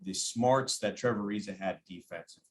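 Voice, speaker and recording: A man who works as a sports announcer, giving conversational analysis as a podcast guest in a measured, explanatory way. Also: fairly low pitch, slight background noise.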